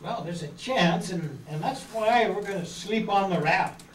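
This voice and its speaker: Man playing high-voiced, take-charge castaway leader. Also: unhurried. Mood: earnest. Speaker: amateur actor in a live radio-play reading. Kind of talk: 1930s children's radio adventure acting, read from script. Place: meeting room stage before seated audience. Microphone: far camera. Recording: roomy, distant camera mic, faint noise.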